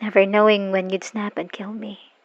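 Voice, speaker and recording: A slightly muffled, lo-fi recording of an actress playing a woman worn down by an abusive, obsessive partner. Her voice sits at an average pitch for a woman. She delivers a dramatic audio roleplay monologue, wounded and bitter, with emotional, halting phrasing. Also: flat delivery, close to an everyday voice. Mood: sad, resigned. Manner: emotional, halting, sighing, dropping into whispers. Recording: dull lo-fi voice recording.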